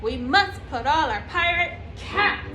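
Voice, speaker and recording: A woman puts on a bold, muddle-headed storybook pirate captain, very high in pitch and loudly commanding. He stretches his exclamations out slowly, in a roomy space. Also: animated. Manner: loud, commanding, drawn-out exclamations. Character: bold, bumbling male pirate captain giving muddled orders.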